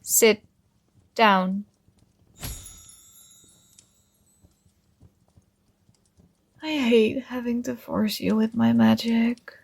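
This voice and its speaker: A doting yet controlling fantasy princess, acted by a woman, speaks in a high, creaky voice at a very unhurried pace. She coaxes and gently gives orders as in an intimate ASMR roleplay. The sound is close and very dry. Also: steady tone, dry recording, full character voice. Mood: pleading, commanding. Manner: softly coaxing, doting, gently commanding. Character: possessive, tender yandere dream princess with magic powers.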